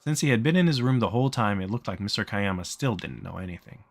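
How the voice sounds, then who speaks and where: Guy with a lowish voice reading out a visual novel, casual, does the dialogue as he goes. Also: lively intonation, fast. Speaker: let's player reading game text aloud.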